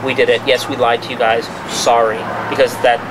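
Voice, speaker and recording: A mid-pitched man talks through his findings at a press conference held in a restaurant. He is emphatic, often restarting and repeating himself as he argues. The background noise is clear under his voice. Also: little pitch movement, fairly quick. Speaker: journalist giving a press conference. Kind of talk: spontaneous press-conference talk.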